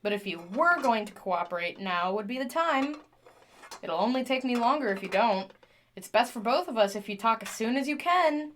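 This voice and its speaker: Actress doing eager young tinkerer lady, audio drama monologue, chatty n flustered, highish voice that bounces all over. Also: fairly bright tone. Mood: coaxing, earnest. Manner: chatty, self-correcting, flustered rambling. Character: eager, rambling young woman tinkerer obsessed with fixing radios.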